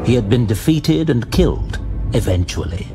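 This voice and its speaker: A man narrating a fantasy audio drama in a measured, deliberate, suspenseful storytelling style. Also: mid-range voice, sing-song. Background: music.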